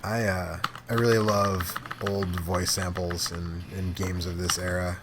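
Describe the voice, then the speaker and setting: A man chatting casually as he plays a game, commenting in rambling, halting asides. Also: deep voice, flat delivery. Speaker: host playing an arcade game. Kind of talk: casual gaming chat and commentary. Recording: clearly noisy room, clicking controls and keys.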